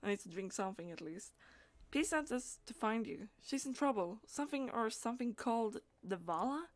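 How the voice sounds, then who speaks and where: A woman's voice: on the high side, lively intonation, brisk, dry recording. A woman streaming a game, reading dialogue aloud and chatting as she plays, with stumbles and repeated words.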